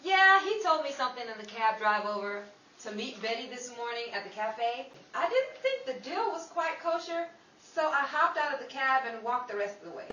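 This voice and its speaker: Bright, high, frazzled 1930s sidekick, played by a woman. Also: sing-song, moderate pace, muffled recording. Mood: frazzled, exasperated. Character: frazzled, confused friend in 1930s New York. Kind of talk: 1930s screwball radio comedy acting. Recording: echoey, distant, noisy live room.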